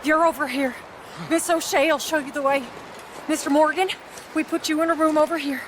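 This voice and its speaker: An actress playing a bossy, brisk older woman running an outlaw camp in a western game. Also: sits high, fairly even intonation, slight background noise, lightly acted, low-quality recording. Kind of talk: cinematic western game acting.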